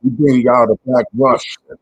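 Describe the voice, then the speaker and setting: A man's voice: mid-range voice, flat delivery, moderate pace, breathy edge, highs cut off. A man hosting his channel, rambling through casual commentary on clips with lots of fillers. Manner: rambling, drawling, fillers like "man" and "you know". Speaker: channel host reacting to clips.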